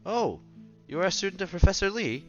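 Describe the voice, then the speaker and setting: Guy doing a polite scholar gentleman, formal n gushing, raised bright voice, music behind. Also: sits high, fairly quick, muffled recording, voice pushed up. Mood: effusive, courteous. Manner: formal, polite, eager to flatter. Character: courteous, effusive scholarly gentleman traveler.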